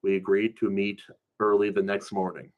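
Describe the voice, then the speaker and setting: Low-pitched man reading a story aloud. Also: monotone, normal speed, narrow, tinny audio. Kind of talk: first-person narrative reading, live.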